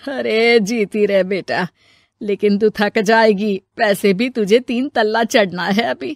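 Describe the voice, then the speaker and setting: A woman's voice: medium pitch, takes it slowly, no room sound, studio quality. A woman playing an affectionate elderly mother-in-law who fusses and exclaims in a homely family story. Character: affectionate elderly mother-in-law, fussing over her household.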